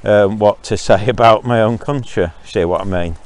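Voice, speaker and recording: A man from Zambia with a slightly low voice talks into his own podcast while out walking his dogs. He rants his opinions in a loose monologue and often stops to restart a thought with an um. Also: normal speed. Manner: opinionated and ranting, with frequent ums and restarts. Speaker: podcast host.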